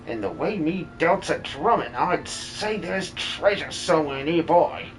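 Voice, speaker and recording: A man voicing a boastful but cowardly muscle-bound miner with rough, cheery working-class speech in a video game fan dub. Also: a little high, lively intonation, measured pace, rough recording. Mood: boastful, eager.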